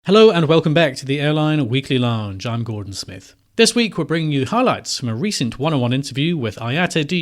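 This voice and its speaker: A man hosting a podcast, reading scripted narration and introductions. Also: on the high side. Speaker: podcast host.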